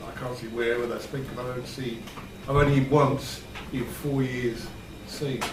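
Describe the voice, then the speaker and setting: A man speaking as a councillor in a meeting, measured and deliberating as he puts his view. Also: medium pitch, steady tone. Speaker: parish councillor. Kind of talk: meeting discussion. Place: indoor parish council meeting room. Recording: distant mic, echoey room, clear noise.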